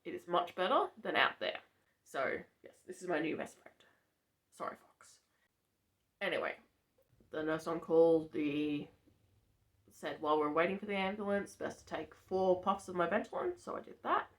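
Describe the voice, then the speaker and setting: A young woman with a gravelly, hoarse voice from allergies, talking in a chatty, matter-of-fact way in a casual vlog from a farmhouse bedroom. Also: fairly low pitch, lively intonation, moderate pace, pauses often. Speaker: vlogger.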